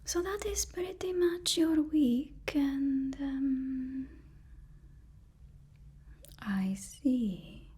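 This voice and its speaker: A woman playing a deferential, flustered personal assistant to a supervillain in a halting, whispered ASMR roleplay. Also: high voice. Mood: obsequious, flustered. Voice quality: soft, breathy whisper.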